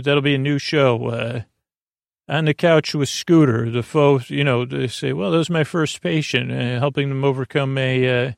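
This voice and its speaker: An American man hosting a sleep podcast, delivering a halting, meandering bedtime monologue that drifts off on tangents. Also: mid-range voice, gravelly, no room sound, clean studio sound. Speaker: podcast host. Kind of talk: rambling bedtime monologue to help listeners fall asleep.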